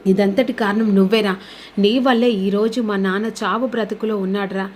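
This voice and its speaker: A woman's voice: medium pitch, fairly even intonation, moderate pace, darkish tone, a little hiss or hum, close to an everyday voice. A woman voicing a hot-headed young man in a serial recap, confrontational and full of repeated blame. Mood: accusing. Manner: blaming, repetitive, confrontational.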